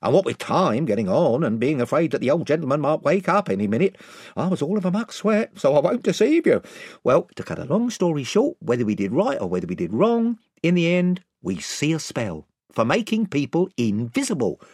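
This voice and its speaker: A man's voice: fairly high pitch, very animated, brisk, a little mellow, husky, no room sound, clean studio sound, pitched above the performer's own voice, heavily acted. A man voicing a self-important, rambling chief of simple folk in a storybook, with a folksy manner. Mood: rambling, earnest. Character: pompous, rambling, rustic invisible chief, male.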